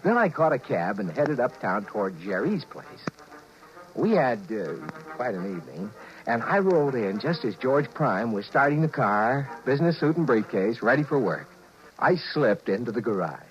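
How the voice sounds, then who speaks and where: Male actor as wry scheming businessman, American accent, animated delivery, mid-pitched, 1950s radio drama, muffled noisy vintage recording. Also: moderate pace, natural voice. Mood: wry narration. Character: wry, scheming married businessman narrating his own misadventure. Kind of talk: 1950s radio drama acting with first-person narration.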